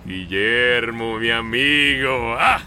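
An actor playing a proud, excitable male revolutionary leader with a Latin American Spanish accent in an audio drama. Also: medium pitch, slow pace, over music, close to an everyday voice. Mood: jubilant, grand.

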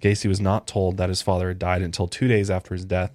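A man co-hosting a casual true-crime podcast, reading case facts aloud in a relaxed way with fillers and asides. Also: low voice, fast talker, no echo, clean studio sound. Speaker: podcast co-host. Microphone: studio podcast mic.

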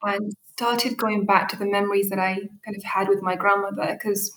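Woman, thirties, founder guest, earnest storyteller, narrow pitch, echoey room. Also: flat delivery, moderate pace, a bit bright. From Eritrea and Ethiopia. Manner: earnest storytelling, frequent fillers. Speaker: coffee brand founder, guest. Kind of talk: interview answers.

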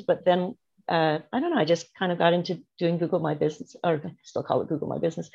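A woman's voice: on the low side, vocal fry, darkish tone, lo-fi sound. A middle-aged woman, a guest talking in a friendly, rambling way and laughing often over a remote call. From Canada. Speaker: guest, marketing strategist. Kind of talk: casual interview chat. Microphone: remote video call.

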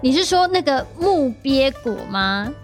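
High-voiced woman chatting. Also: big pitch swings, a little slow, faint music behind. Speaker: podcast guest. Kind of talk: casual, lively podcast chat.

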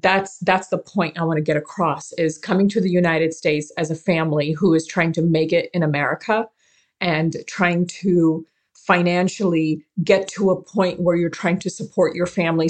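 A woman with a fairly low voice answers as an interview guest. She is originally from Pakistan. Her delivery is earnest and emphatic, and she repeats key words to press a point. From born in Karachi, Pakistan. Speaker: interview guest, forced-marriage survivor and advocate.

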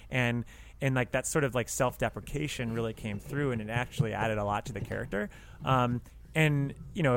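A man's voice: medium pitch, a little hiss or hum. A man co-hosting a podcast, chatting casually about film in a rambling, wry way with some swearing. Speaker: podcast co-host.

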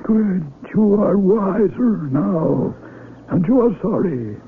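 A man acting in a 1970s radio drama as a gentle, solemn village elder and father, speaking in a measured, kindly, grave way. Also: high voice, animated, slight background noise, exaggerated voice. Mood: stern, satisfied. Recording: muffled vintage AM radio recording.

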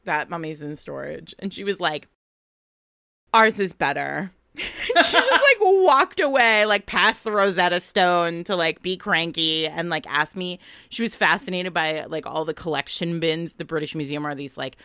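An American woman co-hosting a podcast in casual chat, telling stories in an animated, digressive way. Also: fairly low pitch, moderate pace, dry recording, studio quality. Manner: animated, digressive storytelling with frequent laughter. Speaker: podcast co-host.

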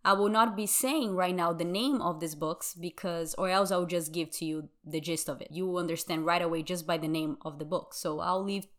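A woman's voice: lowish voice, moderate pace, hardly any pauses. A young woman from Brazil whose first language is Portuguese, hosting her podcast in English in a chatty way and laughing often. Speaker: podcast host. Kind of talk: casual podcast chat.

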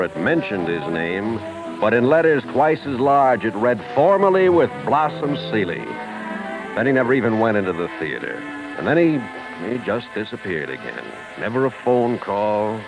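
Animated, wry showbiz narrator. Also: male voice, mid-range voice, rough recording. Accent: American. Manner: expressive, storytelling. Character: wry showbiz man narrating a backstage story. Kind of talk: 1950s radio drama narration. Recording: old 1950s radio broadcast recording.

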